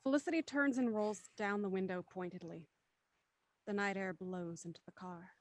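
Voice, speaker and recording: A woman reading scripted stage directions for an audio drama in a crisp, matter-of-fact way. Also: mid-range voice, normal speed, no echo. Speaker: narrator.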